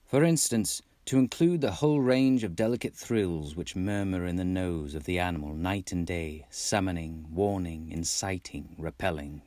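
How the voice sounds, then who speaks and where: Texan guy reading a classic kids story, lowish voice, dramatic, dry recording. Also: normal speed, hardly any pauses, darkish tone, dry recording. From Texas, United States. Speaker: amateur audiobook narrator. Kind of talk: expressive scripted storybook narration.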